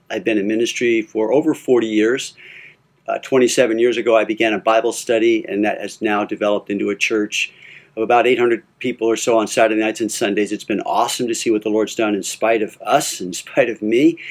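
An older man, a pastor, giving earnest, measured leadership advice with a few small restarts. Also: on the low side, fairly even intonation. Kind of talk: recorded leadership advice talk.

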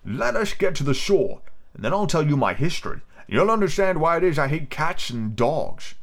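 A man plays a small storybook mouse, shrill and quick to take offence. The creature speaks with trembling indignation and passion, pitched up high. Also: sing-song. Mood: low, trembling. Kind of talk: storybook read aloud.

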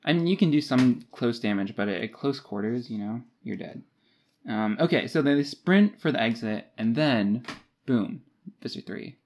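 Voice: mid-range voice, expressive, moderate pace, a little mellow. A podcast co-host chatting casually about books, retelling scenes in informal, slangy language. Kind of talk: casual book discussion.

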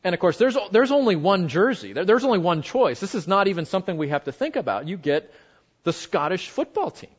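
An American man giving an informal Bible lesson to a group, talking conversationally and haltingly, with frequent asides. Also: sits high, very animated, husky. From United States. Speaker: Bible class teacher. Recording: dull, muffled lo-fi audio.

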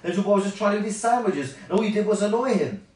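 Storybook bumblebee, female, gentle but indignant and reproachful, played by a man. Raised, expressive pitch. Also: very fast, reverberant, far from the mic, rough recording. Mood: indignant scolding.

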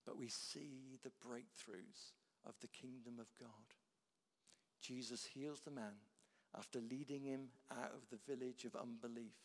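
A man preaching a sermon, swinging from loud declarations down to hushed, quiet lines. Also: medium pitch. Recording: long hall reverb.

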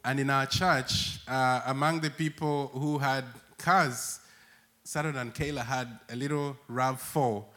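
A man's voice: medium pitch, fairly even intonation, a little slow, a bit bright. A man from Uganda, a church worker telling stories as a guest and laughing often. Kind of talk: warm storytelling conversation.

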